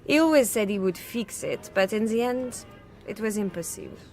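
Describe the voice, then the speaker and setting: Actress as a flirtatious worldly woman, frank, precise wording, mid-pitched, radio play, music behind. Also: expressive, moderate pace, a little mellow, lightly acted. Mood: flirtatious, candid. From Serbia. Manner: coquettish, frank, precise formal phrasing. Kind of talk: radio drama acting.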